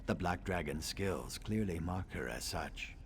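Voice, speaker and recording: A low-voiced, scholarly reptilian assassin from a fantasy video game. He speaks formally and with measured care, brooding and wryly sardonic. Some ambient noise sits behind the voice. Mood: pensive. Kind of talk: video game dialogue acting.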